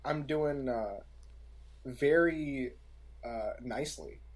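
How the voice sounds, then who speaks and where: A man co-hosting a casual podcast, bantering with dry humour in a halting way. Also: medium pitch. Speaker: podcast co-host.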